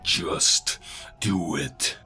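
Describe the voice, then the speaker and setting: A man's voice: drawn-out words, loud music behind the voice. A man playing a ruthless, callous intelligence director in a comic book audio drama, with a menacing growl. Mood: cold menace.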